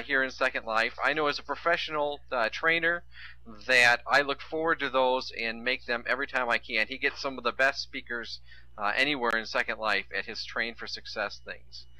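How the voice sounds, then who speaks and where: Conference host guy, really bright sharp voice, some uhs. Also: mid-range voice, low-quality recording. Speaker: conference moderator. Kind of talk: introductions and announcements.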